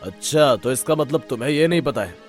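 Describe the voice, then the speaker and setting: A man's voice: medium pitch, animated, brisk, soft background music, lightly acted. A man voicing a stern, suspicious village headman in a cartoon story, demanding and authoritative. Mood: accusing.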